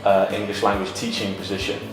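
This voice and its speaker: A man's voice: lowish voice, little pitch movement, quick pace. A man from Wales, an English teacher talking in an interview testimonial. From Cardiff, Wales. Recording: very echoey, distant mic, music over it. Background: music.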